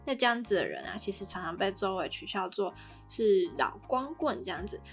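A woman's voice: medium pitch, expressive, fairly quick. Taiwanese Mandarin woman podcasting over music. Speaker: podcast co-host. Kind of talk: conversational podcast discussion.

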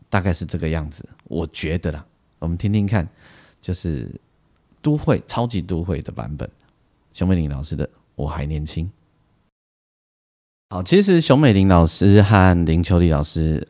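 A man's voice: deep voice, warm tone. A man with a Taiwanese Mandarin accent hosting a casual solo music show, talking in a rambling way with frequent particles and asides. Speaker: music podcast host.

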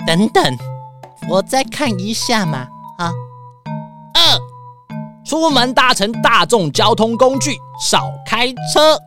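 A woman's voice: on the high side, sing-song, takes it slowly, long pauses, over music. A woman with a Taiwanese Mandarin accent playing a spoiled, pompous rich man in a children's radio drama, whiny, dramatic and pleading. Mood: grumbling.

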